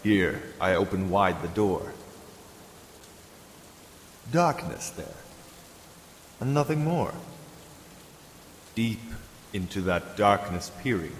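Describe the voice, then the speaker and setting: A man recites gothic poetry slowly and theatrically, with a brooding, melodramatic delivery. His pitch swings widely from line to line. The voice sits in a long, echoing reverb. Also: expressive. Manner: melodramatic, brooding, swinging from hushed to anguished cries. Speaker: dramatic reader of a poem. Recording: echoey, long reverb, faint background noise. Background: ambient sound effects.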